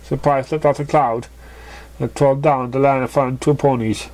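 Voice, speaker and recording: A narrator reads a scripted piece of fan fiction aloud. The voice sits at an in-between pitch as it tells the story. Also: fairly even intonation, moderate pace, darkish tone, poor audio.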